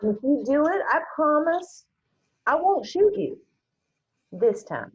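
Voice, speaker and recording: A woman's voice: high voice, very animated, slow, highs cut off, heavily acted. A woman in a screenplay table read playing a tough, protective country bar owner and mother, blunt and wry. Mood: wry, threatening.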